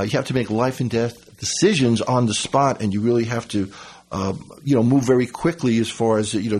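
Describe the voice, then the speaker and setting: Male radio host, husky, halting and filler-heavy, casual talk-show delivery. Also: lowish voice. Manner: rambling, halting, with many fillers.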